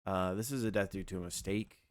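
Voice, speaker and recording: A young man streaming a game and chatting loosely with his viewers, his voice a little scratchy from yelling the night before. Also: sits low, fairly quick. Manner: relaxed, rambling, talks to chat. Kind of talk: casual livestream chat.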